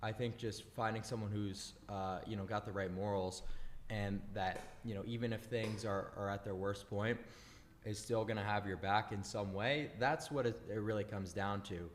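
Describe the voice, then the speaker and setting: A man hosts a casual session and shares his views, pausing often with uhs and you knows. His voice sits somewhat low and carries a long reverb tail. A faint noise runs underneath. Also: fairly even intonation. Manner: halting, many uh and you know. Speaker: host giving advice. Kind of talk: casual opinion talk.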